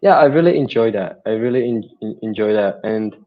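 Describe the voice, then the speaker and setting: A young man from the Czech Republic with a Czech accent, a native Czech speaker, answering interview questions remotely in a halting way. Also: fairly low pitch, expressive, lo-fi sound. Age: young adult. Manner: halting, with restarts and fillers. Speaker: guest, mindset coach.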